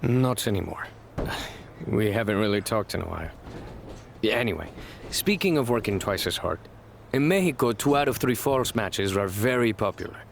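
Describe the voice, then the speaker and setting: An actor playing a friendly, sincere, reflective wrestler in a video game cutscene. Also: male voice, lowish voice, lively intonation, normal speed, creaky, a little hiss or hum. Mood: earnest, friendly.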